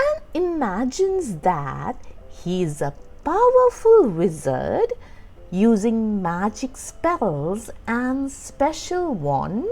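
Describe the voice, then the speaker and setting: A woman narrates a picture book for young children with a hugely animated, swooping delivery. She takes her time, very slowly placing each phrase and stressing key words. Music plays beneath her storytelling. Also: medium pitch. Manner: deliberate, expressive storytelling with emphasized words. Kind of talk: scripted read-aloud narration for young children. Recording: music under the voice. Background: background music.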